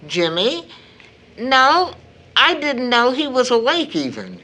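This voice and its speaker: Animated, kindly older mother. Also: sits high, slow pace, full character voice. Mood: cheerful, surprised. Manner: homely, affectionate, expressive. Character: kindly, fussing older mother of a grown daughter. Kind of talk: stage play audio drama acting.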